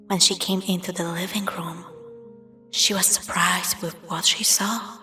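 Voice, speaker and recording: A woman narrating a scripted spooky story in a hushed, breathy, dramatic storytelling manner. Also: lowish voice. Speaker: storyteller, voice performer. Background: music.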